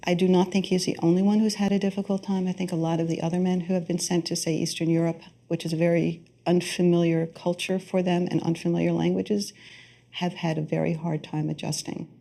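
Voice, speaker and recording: A woman lawyer speaking in a measured, deliberate interview manner. Her voice is low and mellow with a gravelly edge. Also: little pitch movement.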